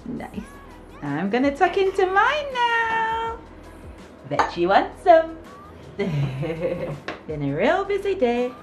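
A woman chatting playfully at home with her family, doting and quick to laugh. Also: very high voice, wildly swooping pitch. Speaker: mother, family vlogger. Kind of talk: casual family chat. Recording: home recording with background music.

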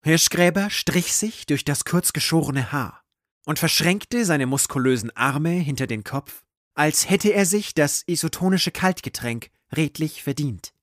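A man narrating a scripted audio drama in a measured, literary storytelling manner. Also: on the high side, animated, a bit bright, no room sound, clean studio sound.

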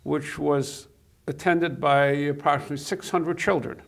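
A man's voice: a little high, steady tone, normal speed, gravelly. An older man from Poland, Yiddish his first language, reminiscing in a studio interview, hesitant with many fillers and restarts, on a lapel mic. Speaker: interview guest. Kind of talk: reminiscing conversation. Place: TV studio set.

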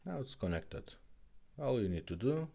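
A man casually presenting a product demonstration, with halting, simple non-native English phrasing. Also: medium pitch, sing-song, creaky, dark tone. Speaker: presenter demonstrating retro car radios.

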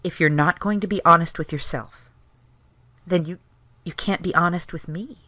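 An actress playing a hurt, frustrated, uncompromising wife in an audio drama, speaking with reproachful emphasis. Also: female voice, lowish voice, normal speed, pushed and bright, dry recording. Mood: hurt, weary.

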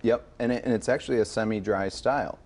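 A man's voice: on the low side, quick pace, a loud crowd. A man in his thirties, a guest in a TV studio, explaining things informally like a sommelier and often checking with a "right?". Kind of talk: casual live TV wine demonstration. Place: TV news studio.

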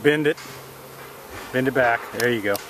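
Male helper, mid voice, brief calm tips, outdoor recording with clear insect buzz. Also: mid-range voice, moderate pace, background noise. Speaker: helper giving advice. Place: outdoors in a leafy yard. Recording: outdoor camera audio with buzzing bees.

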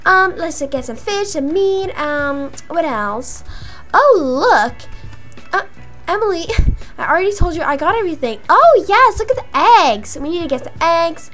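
A young woman acting out improvised roleplay dialogue for a video game, in an animated manner with drawn-out words. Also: very high-pitched. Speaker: gaming video creator voicing roleplay characters. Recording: music behind the voice. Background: music.

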